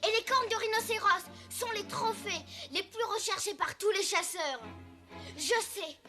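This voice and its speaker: A woman's voice: very high voice, unhurried, music in the background. A woman voicing an earnest, brave young boy elephant king in a French cartoon dub. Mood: urgent. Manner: earnest, resolute. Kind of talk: French-dubbed cartoon acting.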